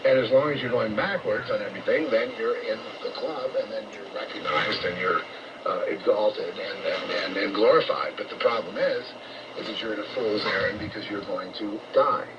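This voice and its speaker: An American man preaching on shortwave radio in a blunt, confrontational, profane rant, halting and repeating himself. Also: fairly low pitch. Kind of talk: unscripted ranting sermon. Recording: noisy muffled shortwave radio broadcast.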